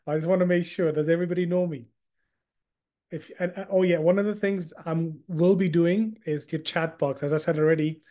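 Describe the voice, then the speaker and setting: A man in his thirties from Hong Kong speaks over a video call, teaching an online class. His voice sits a little high yet sounds mellow and relaxed. He is chatty, repeats himself and keeps asking listeners questions. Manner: chatty, repeats words, asks the audience questions. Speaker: Islamic studies teacher.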